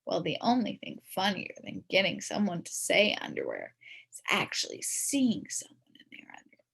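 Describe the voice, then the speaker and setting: A woman reading a children's story aloud in the first person as a kid, expressively, with dramatic emphasis and drawn-out words. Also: lowish voice, wildly swooping pitch, creaky, fairly bright tone, breathy edge. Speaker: storybook reader.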